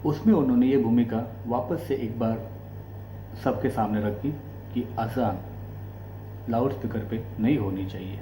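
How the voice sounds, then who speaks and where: An Indian man hosts an explanatory commentary in a mellow, relaxed voice at a middle pitch. He speaks deliberately, in short broken phrases. The room is echoey, with faint noise and hum behind him. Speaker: host of a commentary channel. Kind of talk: explanatory political commentary to camera.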